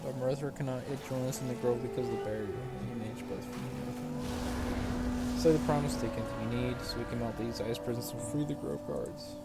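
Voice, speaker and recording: A man's voice: medium pitch, a little fast, gravelly. A young man giving casual, rambling game playthrough commentary, swearing freely. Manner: rambling, profane, reads quest text aloud. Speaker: gaming video creator. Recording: loud game music behind voice. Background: video game music.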